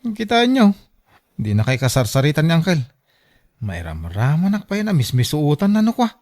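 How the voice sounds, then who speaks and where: A man's voice: fairly high pitch, wildly swooping pitch, moderate pace, darkish tone. A man playing a reasonable young adult son in a radio drama, objecting earnestly. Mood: earnest objection. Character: reasonable, protesting grown son. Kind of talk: Ilocano radio drama acting.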